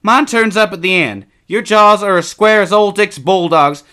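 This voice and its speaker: Guy doing a scrappy young country boy from a storybook, high bright voice, excitable n always bickering. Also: normal speed, clean tone. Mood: teasing. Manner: taunting, exclaiming. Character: excitable, bickering young twin boys in the woods. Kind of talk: acted storybook dialogue.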